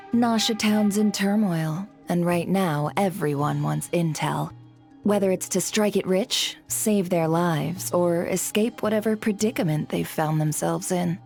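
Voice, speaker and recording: A shrewd female broker speaks in a lowish, composed voice. She is coy and insinuating, a polished video game character with faint music behind her. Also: a little slow, creaky, darkish tone. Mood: grave, businesslike. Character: shrewd, sly female intelligence broker, coolly polite.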